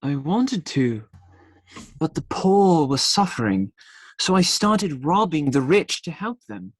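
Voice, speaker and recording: A woman acts a young male outlaw hero for a radio drama, low in pitch and swinging widely in tone. He is idealistic and defiant, lovestruck and earnest, and hesitates when challenged. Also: sing-song, slow, husky, dry recording, heavily acted. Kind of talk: radio play acting.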